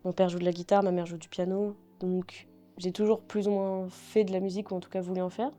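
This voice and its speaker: Mellow young woman, relaxed and hesitant. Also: lowish voice, brisk, soft background music. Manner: relaxed, hesitant, many fillers. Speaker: singer-songwriter guest. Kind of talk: casual interview chat.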